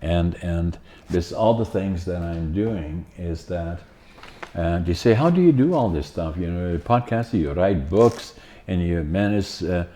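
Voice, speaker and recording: A man's voice: deep voice, animated, a little slow, dark tone, slight background noise. An older man from the Netherlands with a Dutch accent, hosting a podcast in a rambling, casual talk full of fillers and restarts. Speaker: podcast host.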